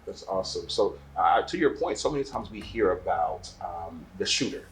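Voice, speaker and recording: A man with a low voice hosts a magazine interview. He speaks conversationally as he puts questions to his guests. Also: lively intonation, normal speed, a little hiss or hum, low-quality recording.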